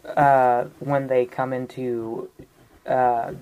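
A woman's voice: rumbling low, level tone, drawn-out words, phone-quality sound. A woman playing a tabletop roleplaying game and talking casually about the next move.

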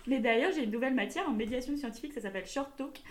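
Chatty young woman, slightly high voice. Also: very fast. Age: young adult. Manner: chatty, rambling, giggly. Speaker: podcast co-host. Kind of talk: casual podcast chat.

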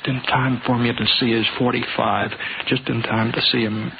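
A man's voice: medium pitch, little pitch movement, normal speed, vocal fry, dull audio, natural voice. An American man acting a laconic, folksy drifter gunslinger in a 1950s radio western, on a hissy old recording. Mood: tense, reflective. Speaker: lead actor and narrator.

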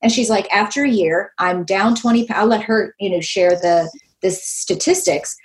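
A woman's voice: medium pitch, moderate pace, low-quality recording. Enthusiastic woman host in her fifties. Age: 50s. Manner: enthusiastic, animated, quotes herself and others. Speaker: podcast host. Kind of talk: casual interview chat.

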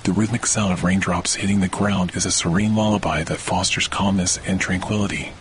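A man narrating a scripted guided sleep meditation in a slow, soothing, deliberate way with long pauses. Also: deep voice, background noise. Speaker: podcast host, meditation guide. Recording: steady rain and thunder behind voice. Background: rainfall with thunder.

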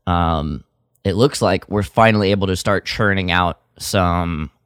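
A man co-hosting a podcast, chatting casually in a hesitant, halting way. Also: low voice, a bit bright, clean tone, no echo, clean studio sound. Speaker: podcast co-host. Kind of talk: casual chat.

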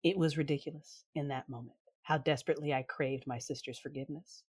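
A woman playing a proud, defiant Sith lord who narrates her own story in a science fiction audio drama, intense and deliberate. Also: low voice, steady tone, a little mellow, slightly rough. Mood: grave, tender.

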